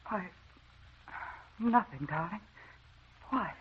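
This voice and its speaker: An actress playing a tragic, haunted wife in an old radio drama, speaking with tender, earnest melodrama. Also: female voice, mid-range voice, lively intonation, slow. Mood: troubled, haunted. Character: tragic, haunted young wife with a mysterious past. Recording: muffled, noisy old radio recording.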